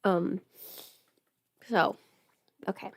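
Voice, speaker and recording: A woman's voice: mid-range voice, very slow, creaky, slightly rough, dry recording. A woman livestreamer chatting casually while getting ready, rambling and interrupting herself as she thinks aloud.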